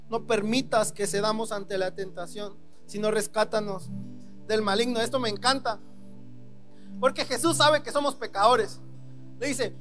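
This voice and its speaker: A man's voice: very high voice, fairly bright tone. A man with a Mexican Spanish accent preaching a sermon, talking in a casual way and acting out prayers and God's replies. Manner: conversational preaching with dramatic quoted prayers. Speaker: pastor.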